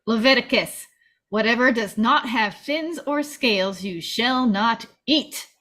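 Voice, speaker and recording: Woman reading eager man, higher voice. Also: measured pace, sharp tone. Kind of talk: scripted comedy table read.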